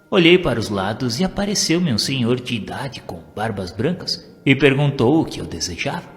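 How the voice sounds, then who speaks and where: Guy doing a brazilian trucker telling his tale, earnest and animated, music behind, very reverby. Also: medium pitch, voice pushed up. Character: grateful truck driver recounting his strange encounter.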